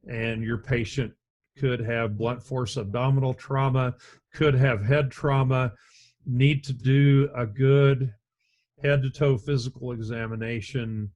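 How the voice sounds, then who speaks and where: Middle-aged man, deep voice, unhurried EMS instructor on a webcam lecture, repeats himself as he goes. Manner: slow, measured, conversational with frequent restarts. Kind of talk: recorded slide lecture. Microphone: webcam and computer mic on a video call.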